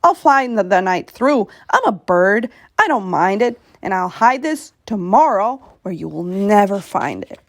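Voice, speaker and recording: A woman voicing a rude, sneering male eagle with a gruff tone. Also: mid-range voice, big pitch swings, unhurried, few pauses, dry recording. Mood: mocking.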